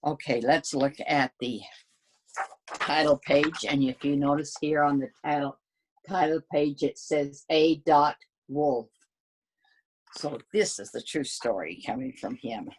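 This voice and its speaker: A woman reading aloud to children, informally explaining the book in a halting way and asking questions. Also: low voice, slightly rough, rough recording. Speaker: storybook reader.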